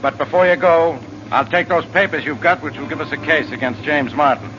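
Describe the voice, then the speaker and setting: A man plays a sarcastic police inspector from 1940s radio drama. His voice is fairly high and brusque. The recording is muffled and noisy. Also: fairly quick, lightly acted. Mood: demanding.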